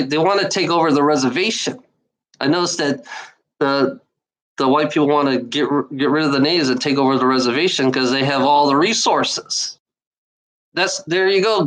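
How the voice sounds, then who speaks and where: A woman's voice: deep voice, low-quality recording. A woman delivering an angry, profane monologue to the camera, repeating phrases and restarting sentences often. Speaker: online commenter.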